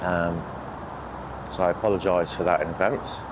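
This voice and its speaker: A British man with a croaky, sniffly voice from a cold, chatting casually and a little hesitantly as a fishing vlogger outdoors. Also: deep voice, steady tone, normal speed, background noise. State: has a cold. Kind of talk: casual outdoor vlog talk to camera. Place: outdoors on a lake bank.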